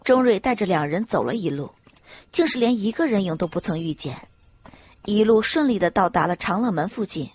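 A woman reading scripted dramatic audiobook narration in an expressive storytelling manner. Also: medium pitch, fairly quick, breathy edge, dry recording.

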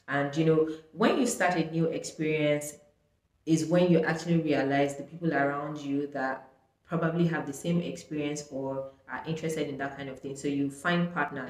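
A woman's voice: low-pitched, fairly bright tone. A young woman talks casually to camera, filling her sentences with "like" and "um". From Nigeria. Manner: chatty, sprinkled with "like" and "um". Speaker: vlogger. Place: small bright room indoors. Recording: echoey room.

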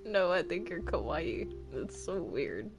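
A woman's voice: fairly low pitch, sing-song, unhurried, loud music behind the voice. A woman chatting casually while gaming, with a hesitant, stammering way of talking. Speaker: gamer in a Minecraft roleplay. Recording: noisy home game-chat recording.

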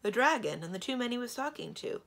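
A woman's voice: a little high, very animated, fast talker, fairly bright tone, voice pushed up, full character voice. A woman voicing a courteous, apologetic young prince in a storybook reading. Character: polite, apologetic young male stone prince.

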